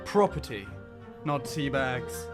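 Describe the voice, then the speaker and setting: Actor playing a fussy, pompous café regular. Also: male voice, mid-range voice, expressive, slow, music in the background. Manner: particular, pompous. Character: fussy, grumbling, gossipy male café regular. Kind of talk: audio drama acting.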